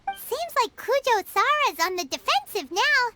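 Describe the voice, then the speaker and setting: Squeaky tiny girl sidekick, very high. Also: very animated, unhurried, exaggerated voice. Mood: smug, pleased. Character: tiny floating childlike girl companion, perky and chatty. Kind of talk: video game voice acting. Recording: loud noise behind the voice.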